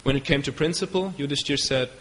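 A man from Germany with a German accent, a devotee giving a storytelling talk. He speaks haltingly and stops to search for English words. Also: on the high side, a bit bright. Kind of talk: storytelling lecture. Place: hall in Belgium. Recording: long hall reverb with faint ambience.

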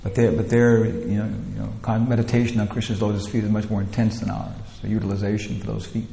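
Gravelly man lecturing in a roomy hall. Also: lowish voice, little pitch movement, fast, mellow. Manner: rambling, many "you know" fillers and restarts. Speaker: teacher leading a scripture class. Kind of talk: informal spiritual lecture. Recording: roomy hall with long echo, faint ambience.

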